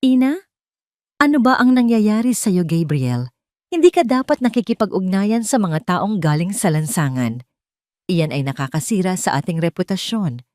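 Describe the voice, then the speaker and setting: A woman's voice: on the low side, very animated, normal speed, darkish tone, no echo, clean studio sound. A woman voicing a stern, haughty mother who scolds her grown son in a hard, reproachful manner. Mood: scolding.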